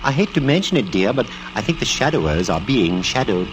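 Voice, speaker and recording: A man's voice: sing-song, fairly quick. An actor playing a fussy, droll male sidekick, prim and arch, in theatrical 1940s radio style. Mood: dryly worried. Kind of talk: 1940s radio detective acting. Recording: noisy old radio recording, car rumble.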